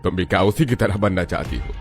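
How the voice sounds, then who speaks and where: Stern cartoon patriarch, male actor, threatening and scolding, lowish register, music bed. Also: fast, lightly acted. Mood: angry, stern. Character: stern, proud wealthy father of a grown daughter. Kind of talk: cartoon family melodrama.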